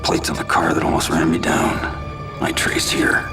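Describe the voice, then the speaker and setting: An actor playing a grim, weary, menacing male vigilante in a video game, terse and deadpan with a gravelly voice. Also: low voice, normal speed, music in the background, exaggerated voice. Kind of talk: hard-boiled narration and game acting.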